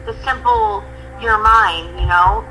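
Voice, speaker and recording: A woman's voice: medium pitch, slow pace, over music, rough recording. Rambling caller woman in her forties. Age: 40s. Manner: rambling, emphatic, swears. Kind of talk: casual call-in chat.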